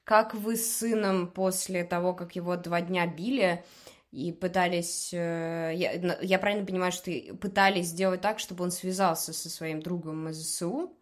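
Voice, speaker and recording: Low-voiced woman asking careful questions. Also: steady tone, normal speed. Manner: careful, probing, checks her understanding. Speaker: interviewer. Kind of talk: interview questions.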